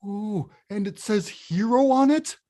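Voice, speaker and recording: An actor playing a big, frustrated and stubborn video-game bad guy who craves respect, with emphatic, blustering delivery in an animated film table read. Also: medium pitch, a little slow, close to an everyday voice. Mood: eager.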